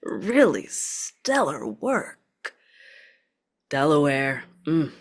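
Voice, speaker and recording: Woman acting a low-voiced, officious corporate facilitator. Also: very animated, exaggerated voice. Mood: pleased, formal. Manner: brisk, procedural, artificially courteous. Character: stoic, officious, politely chipper corporate orientation facilitator, thirty-five. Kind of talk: script table-read acting.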